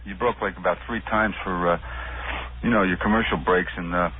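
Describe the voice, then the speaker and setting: Man, radio caller, lowish pitch, informal, halting delivery, thin telephone sound. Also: steady tone. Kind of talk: casual phone-in complaint. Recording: tinny noisy phone line.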